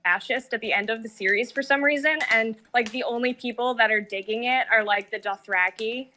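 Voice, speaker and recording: A woman's voice: on the high side, moderate pace, pushed and bright, slight background noise. A woman co-hosting a podcast, giving casual critical opinions in an emphatic way, peppered with filler words.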